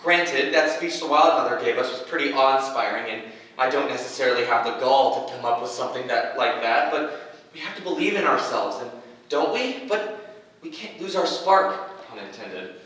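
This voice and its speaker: A man's voice: mid-range voice, lively intonation, moderate pace, fairly bright tone, lightly acted. A man playing a nervy but hopeful young hero with fire powers, stammering and earnestly encouraging in a staged reading. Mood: rallying. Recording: echoey room, distant mic.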